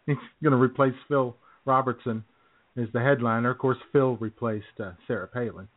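A man's voice: medium pitch, fairly even intonation, moderate pace. Man bantering over a tinny phone line. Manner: chuckles often, wry. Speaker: co-host of a political comedy radio show. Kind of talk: casual joking banter. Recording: tinny phone-line internet radio audio.

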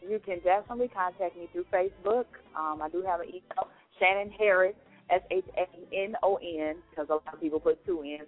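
A woman's voice: lowish voice, a little fast. A woman singer answering interview questions, easygoing and a bit hesitant as she searches for words. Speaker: guest singer. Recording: tinny phone-line sound, faint music behind.

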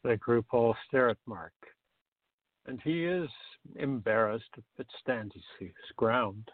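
A man with a gravelly, creaky voice reading scripted narration for a comic radio play, on a tinny, narrow recording. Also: mid-range voice, expressive, slow, dry recording. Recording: tinny, narrow phone-like sound.

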